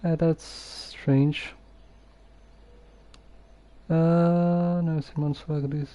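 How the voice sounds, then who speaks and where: Slow, dark-toned, hesitant game streamer. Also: mid-range voice, flat delivery. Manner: hesitant, halting, subdued. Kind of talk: casual live gameplay commentary.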